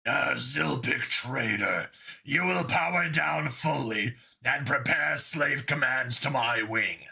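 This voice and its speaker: A man voicing an angry giant bug military commander in an audiobook, with a gravelly deep voice and slow, imperious delivery. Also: pushed and bright, exaggerated voice. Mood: menacing command.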